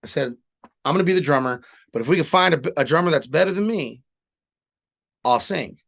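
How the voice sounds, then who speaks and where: A man's voice: fairly high pitch, expressive, fairly quick, creaky, fairly bright tone. A middle-aged man from Southern California, a guest and singer-songwriter, telling stories in casual interview chat with candid, casual swearing.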